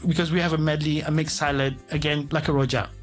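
A man with a Malaysian accent, a guest in an interview, explaining at length with frequent "uh" pauses. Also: a little high, level tone, faint music behind. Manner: explanatory, lists names with many pauses. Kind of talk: conversational interview.

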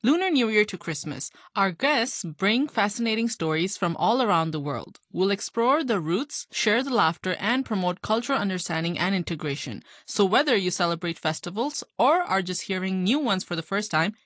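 Upbeat female radio host, dry studio sound, mid pitch. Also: expressive, normal speed, hardly any pauses, a bit bright, dry recording. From Kashmir, Pakistan. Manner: upbeat, introducing. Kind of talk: community radio chat show.

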